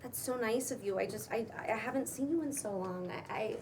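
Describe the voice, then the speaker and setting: A woman's voice: a little high, lightly acted. A woman acting an awkward, hesitant, dryly skeptical character, stammering and slangy, in a cold read of a comedy script. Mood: hesitant, polite. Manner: halting, stammering, casual and slangy. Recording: distant room mic, faint background noise.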